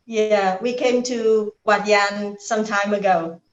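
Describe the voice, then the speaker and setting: A woman from Vietnam asks her question slowly and haltingly, filling pauses as she searches for words. Her voice rings in a roomy space and comes through dull, lo-fi video call audio. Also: medium pitch, steady tone. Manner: hesitant, with many fillers. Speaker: participant asking a question.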